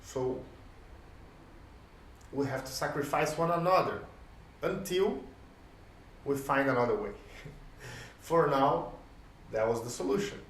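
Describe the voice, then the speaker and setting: A man with a Portuguese-native lilt talks through his ideas slowly, stopping to ask rhetorical questions. He sits a little back from the mic in a roomy space, with faint ambience behind him. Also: medium pitch, recorded from a distance, slight background noise. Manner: thoughtful, pauses often, rhetorical questions. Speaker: host. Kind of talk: reflective solo talk.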